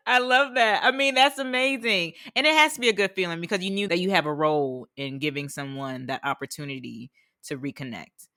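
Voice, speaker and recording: A woman's voice: on the low side, normal speed, sharp tone, dry recording, studio quality. A woman in her forties hosting an interview, enthusiastic and affirming as she talks. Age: 40s. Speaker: podcast host. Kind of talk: casual interview conversation.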